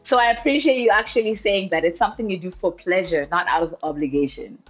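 A woman from the Democratic Republic of the Congo co-hosting a podcast, introducing her guest in a playful, expressive way with slangy asides. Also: fairly low pitch, fast. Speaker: podcast co-host. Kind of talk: casual podcast interview. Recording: dull lo-fi sound.

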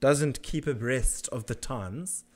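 A man's voice: medium pitch, slow pace. A man hosting a casual interview, joking and rambling in an informal way. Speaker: podcast host.

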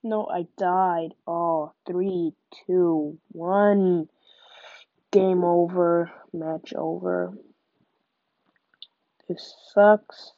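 A woman's voice: fairly low pitch, fairly even intonation, extremely slow, long pauses, dry recording. Young woman, stuffy nose from a cold, gameplay commentary, dry muffled home recording. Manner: chatty, narrates each move. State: nasal from a cold. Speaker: gaming podcaster.

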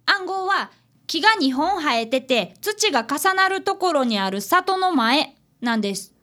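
A woman's voice: high voice, very animated, a little slow, pushed and bright, heavily acted. A woman playing an earnest, polite grade-school boy apprentice detective with a Kansai accent in a radio drama, both narrating and in dialogue. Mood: earnest explaining. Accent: Kansai Japanese.

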